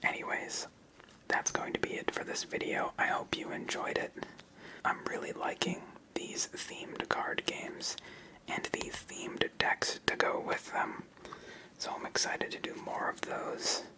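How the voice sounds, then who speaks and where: A host whispering ASMR commentary while playing a solo card game, explaining each move step by step in an unvoiced whisper. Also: low-quality recording. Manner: slow, step-by-step narration of moves.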